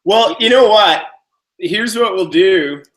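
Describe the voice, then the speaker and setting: A man co-hosting a casual online show over a video call speaks with a bright, forward tone. His voice sits a little high. He is quick and self-mocking, and he sounds tired. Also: lively intonation. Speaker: co-host. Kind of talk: casual live-stream chat.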